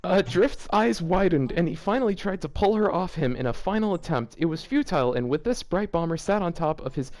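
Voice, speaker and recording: A man with a slightly high voice reads a story aloud as host. His delivery is casual and full of his own commentary. He keeps stepping out of the text in amused, exasperated disbelief. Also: animated, a little fast, few pauses, darkish tone. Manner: breaks off often to react with exasperated, amused disbelief. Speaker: host reading fan fiction aloud for a video.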